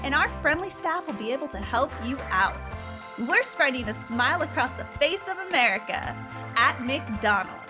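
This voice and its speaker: A woman playing a chirpy fast-food commercial announcer with exaggerated salesy cheer. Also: sits high, animated. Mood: gushing cheer. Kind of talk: peppy advertising voice-over. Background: music bed.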